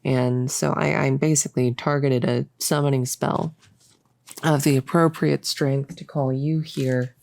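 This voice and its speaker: A woman's voice: a bass voice, fairly even intonation, unhurried, gravelly, a little mellow, dry recording, full character voice. A young woman playing a bossy, giddy, possessive cultist in an intimate roleplay audio, rambling in a casual, smug, playful way. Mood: rambling, smug explaining. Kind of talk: intimate roleplay audio, improvised-sounding monologue.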